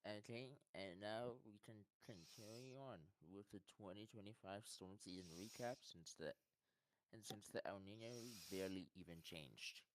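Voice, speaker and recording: A man's voice: fairly low pitch, normal speed, no room sound, poor audio. A young man co-hosting a stream, laid-back and terse, answering with short "okay"s. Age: young adult. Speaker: co-host. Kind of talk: casual chat.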